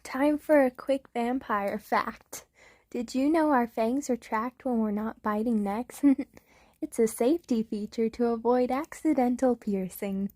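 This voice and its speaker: A woman's voice: on the high side, a little slow, a little mellow, no room sound, clean studio sound. A woman voicing a clumsy, goofy male vampire count in a comedic ASMR roleplay, giggly and theatrical. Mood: playful. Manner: giggly, theatrical, breaks into laughter.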